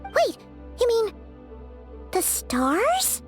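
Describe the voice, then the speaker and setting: A woman voicing a small, curious, chatty fairy companion in an anime game, with a squeaky, childlike voice and an excitable manner. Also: very slow, soft background music, full character voice. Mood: puzzled, earnest. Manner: excitable, refers to self in third person.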